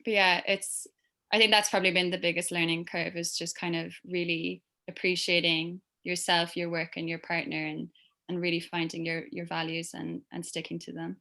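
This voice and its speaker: A woman's voice: on the low side, flat delivery, normal speed, creaky, bright, forceful tone. An Irish woman talking as a creative guest on a video-call panel, chatty and loose, with lots of fillers. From Ireland. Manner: chatty, rambling with many fillers.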